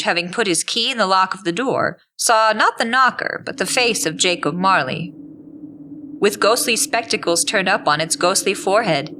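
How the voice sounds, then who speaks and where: A woman's voice: medium pitch, bright, forceful tone. A woman narrating an audio drama in a scripted storybook style.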